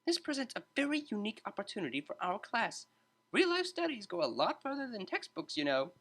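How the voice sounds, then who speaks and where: A narrator voicing a cheerful, meddling male pony psychology tutor with an animated lecturing manner. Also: mid-range voice, fairly quick, voice pushed up. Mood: excited.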